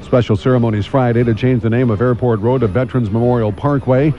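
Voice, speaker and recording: A Canadian man reads the morning radio news. His voice is mellow and mid-pitched, and his scripted delivery is conversational, in short punchy phrases. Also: fairly even intonation, fast talker, dull audio.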